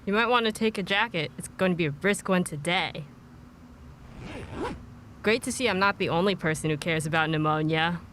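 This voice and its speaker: A woman's voice: fairly low pitch, normal speed, long pauses, fairly bright tone, natural voice. Casting: actress as fussy, chatty female neighbour, audio drama, slight noise behind her. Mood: chatty, fussing.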